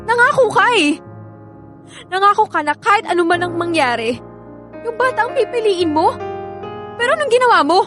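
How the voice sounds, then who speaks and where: A bitter, grieving young Filipino mother in a radio drama. Her voice is extremely high, accusing and thick with emotion, over faint music. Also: big pitch swings, normal speed, long pauses, a bit bright, full character voice. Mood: bitter, anguished.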